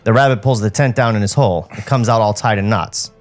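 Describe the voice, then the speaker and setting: A man's voice: on the low side, fast talker. A man reading screenplay stage directions as a podcast host, with music behind him. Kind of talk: screenplay narration with joking asides.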